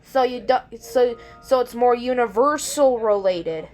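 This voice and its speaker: A woman's voice: fairly high pitch, measured pace, darkish tone. A woman hosting her own video, talking casually to viewers in a rambling, hesitant way and often correcting herself. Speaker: video creator and host. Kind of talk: casual intro and commentary to viewers.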